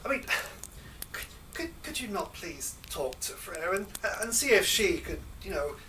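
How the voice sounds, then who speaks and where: A man's voice: sits high, sing-song, a little slow, sharp tone, slight background noise, exaggerated voice. Thor, a man playing an exasperated thunder god. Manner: exasperated complaining. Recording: distant mic with ambience.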